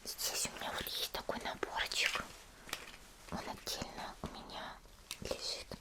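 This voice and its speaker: A woman playing a cheerful, caring young female demon slayer in a soft, chatty whispered ASMR roleplay. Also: measured pace, close to an everyday voice. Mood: gentle, chatty. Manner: gentle, chatty, hesitant little murmurs.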